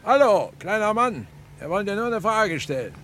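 A weary, dogged male cop in a radio crime drama. His fairly high voice has lively intonation, over faint street noise. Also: normal speed. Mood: friendly, questioning. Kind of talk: German crime radio play acting.

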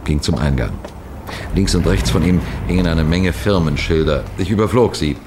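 A man playing a wary police detective who narrates his mission in deliberate, suspenseful 1960s radio crime drama style. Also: sits low, natural voice. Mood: suspenseful, measured. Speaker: narrator and lead character. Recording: noisy vintage 1960s radio recording.

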